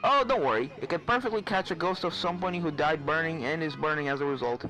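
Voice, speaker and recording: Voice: mid-range voice, fast, pushed and bright, lightly acted, rough recording. Reader acting out male cartoon pony professor, reassuring, storybook helper, faint game music behind. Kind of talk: character voice in fan fiction reading.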